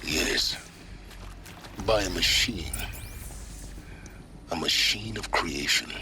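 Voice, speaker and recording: An actor playing an enigmatic man in a video game, speaking in a measured, low way over loud game music. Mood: guarded.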